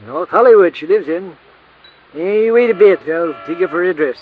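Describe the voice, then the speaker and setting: An actor playing a cantankerous, folksy cowboy drive-in manager with an American Western drawl in an old radio drama. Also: male voice, sits high, normal speed, natural voice. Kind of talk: 1950s radio comic character acting. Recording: muffled, noisy old radio recording.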